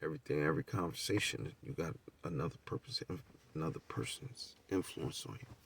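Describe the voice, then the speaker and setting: A man's voice: rumbling low, expressive, fairly quick, gravelly, a bit bright, far from the mic, a little hiss or hum. A middle-aged American man telling personal stories in a casual, profane, candid and rambling way, asking rhetorical questions as he goes. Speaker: storyteller.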